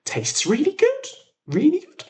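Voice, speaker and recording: English guy in his 20s rambling to his stream, really lively up and down voice, chatty and self-deprecating. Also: very high-pitched, a little slow. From England. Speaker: livestream host. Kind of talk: casual rambling livestream chat.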